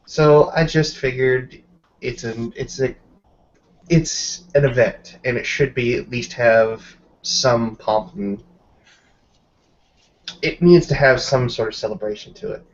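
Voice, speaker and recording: A man's voice: mid-range voice, slow pace, close to an everyday voice. A man playing a frank, wry, skeptical female werewolf packmate in a tabletop role-playing game. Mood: questioning. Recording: dull lo-fi audio.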